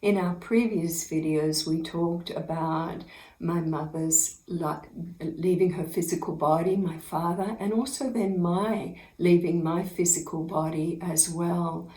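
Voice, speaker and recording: A woman, a spiritual teacher, telling inspirational stories about her own life in an unhurried, earnest way, often breaking into laughter. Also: lowish voice, fairly even intonation, mellow, reverberant, low-quality recording. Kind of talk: inspirational personal storytelling to camera.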